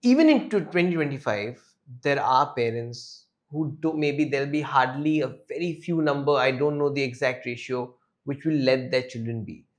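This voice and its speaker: A man's voice: medium pitch, moderate pace. A man with an Indian accent hosting a conversational interview, agreeable and given to short affirmations. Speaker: podcast interviewer.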